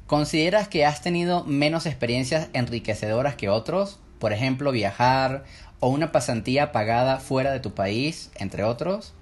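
A man's voice: medium pitch, normal speed. Venezuelan man hosting a solo podcast. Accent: Venezuelan Spanish. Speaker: podcast host.

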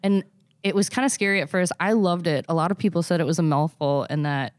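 A young woman with a Minnesotan accent talks casually as an interview guest, her voice sitting a bit low for a woman. She rambles and restarts, leaning on fillers like "um" and "you know." The sound is dry and close, with no room around it. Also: quick pace, clean tone, dry recording. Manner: rambling, hesitant, with many fillers and self-restarts. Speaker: car detailing business owner, guest.

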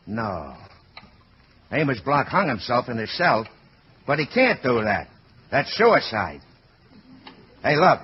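An actor playing a tough, gruff, commanding police lieutenant in an old-time radio drama. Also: male voice, medium pitch. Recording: noisy, muffled old radio recording.

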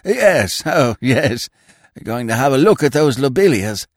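A vague, dithering old earl, as a male audiobook reader puts him on. His voice jumps high and loud, full of startled little exclamations. It is a very lively, animated delivery in a dry, close recording. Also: measured pace, no room sound. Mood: flustered. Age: older.

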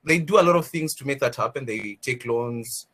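A man makes an earnest case on a podcast, pressing his point. His voice is husky and a little rough, at a middle pitch. He talks in an opinionated, argumentative way. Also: moderate pace, a bit bright.

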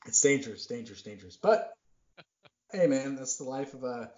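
A man's voice: medium pitch, lively intonation, normal speed, darkish tone. A man in his thirties hosting a podcast, talking in a rambling, self-deprecating way full of fillers. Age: 30s. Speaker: podcast host. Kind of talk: casual chat. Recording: roomy, dull lo-fi sound.

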